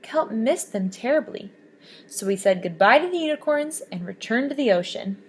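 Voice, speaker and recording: A woman reading a children's picture book aloud with expressive, animated storytelling. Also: fairly high pitch, normal speed, breathy edge. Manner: expressive, lively storybook voices.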